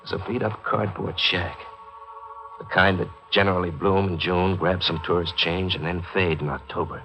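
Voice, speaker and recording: A low-pitched, brooding man tells a noir thriller with heavy melodrama. It is vintage radio drama, with music clearly behind the voice. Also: steady tone, normal speed, pushed and bright, heavily acted, poor audio. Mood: grim, foreboding. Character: guilt-haunted male writer, brooding narrator of a thriller. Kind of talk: old-time radio noir narration and dialogue.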